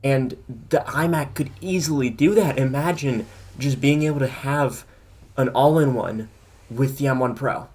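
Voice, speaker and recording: A man's voice: mid-range voice, moderate pace, darkish tone, husky. A man co-hosting a casual tech podcast, opinionated and rambling as he thinks aloud. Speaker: podcast co-host.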